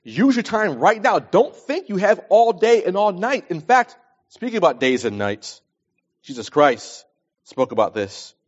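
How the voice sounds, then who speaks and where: Higher-pitched man preaching, dull recording. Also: big pitch swings, measured pace. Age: early 40s. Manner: emphatic, repeats phrases for stress. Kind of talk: sermon with scripture readings and plain advice.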